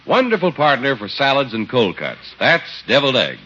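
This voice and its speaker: A man's voice: mid-range voice, wildly swooping pitch, normal speed, pushed and bright, husky. A man announcing a scripted 1950s radio show and its sponsor's message. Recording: dull 1950s radio recording. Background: music.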